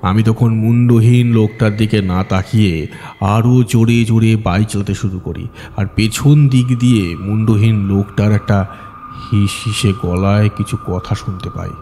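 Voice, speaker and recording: Male audiobook narrator for horror: lowish, dark mellow tone, dramatic storytelling, long reverb. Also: steady tone, moderate pace, a little hiss or hum.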